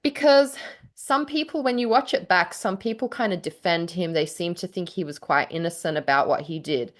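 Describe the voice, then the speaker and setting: Lower-voiced woman chatting effusively. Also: normal speed. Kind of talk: casual chat between writers.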